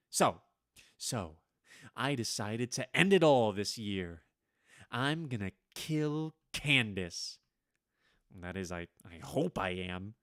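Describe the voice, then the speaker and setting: A man performs a haunted, henpecked professor in an old-time radio play. His voice is bright and forceful, with big swings of pitch. He confides nervously and stammers, at a slow pace, in a dry, close sound. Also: no room sound. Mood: nervous, confiding. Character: henpecked, haunted male history professor, anxious and plotting. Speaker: radio drama actor. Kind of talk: vintage radio drama acting, confiding monologue.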